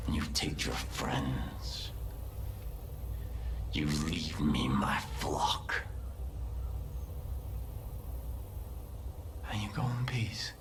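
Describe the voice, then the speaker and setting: An actor playing a fanatical cult leader in a video game, preaching with solemn, deliberate menace in a breathy, often hushed voice. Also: male voice, extremely low pitch, big pitch swings, echoey room, whispered, heavily acted. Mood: stern, pleading. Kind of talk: dramatic sermon-like game acting.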